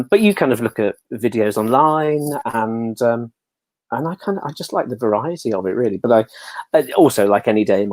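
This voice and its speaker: English male, low register, dry sound. Relaxed anecdotal interview guest, jokey and self-mocking. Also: no echo. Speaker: interview guest, pantomime dame performer. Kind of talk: casual chat, anecdotal.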